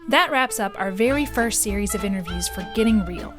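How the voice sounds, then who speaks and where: A woman's voice: medium pitch, animated, a little fast. A woman hosting a podcast, reading a scripted outro. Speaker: podcast host. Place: small library studio. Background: music bed.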